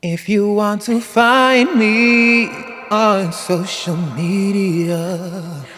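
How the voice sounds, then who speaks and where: A woman chatting casually as a podcast guest, talking in an animated, playful, emphatic way with casual slang. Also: mid-range voice, very slow, soft background music. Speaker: podcast guest, athlete and author. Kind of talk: casual chat.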